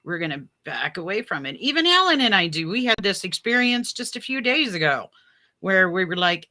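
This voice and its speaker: A woman's voice: medium pitch, sing-song, fairly quick, bright, forceful tone. A woman chatting casually about spiritual experiences, telling anecdotes and acting out bits of remembered conversation. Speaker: guest on a spiritual radio show.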